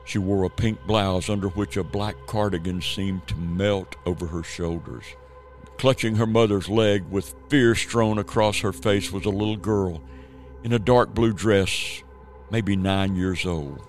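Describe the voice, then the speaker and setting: A man's voice: low voice, fairly even intonation, a little slow, a little mellow, soft background music. A man from the southern United States narrating a scripted radio play as a storyteller. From Savannah, Georgia, United States.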